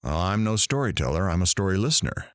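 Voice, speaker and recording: Radio drama host, played by a man: deep voice, expressive swings, weary, reflective, theatrical and measured. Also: no echo, clean studio sound.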